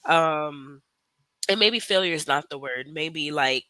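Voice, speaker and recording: A woman's voice: deep voice, slow pace, pushed and bright, no echo. A woman in her twenties, a podcast guest, speaking reflectively in a casual interview, hedging often with fillers as she thinks aloud. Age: 20s.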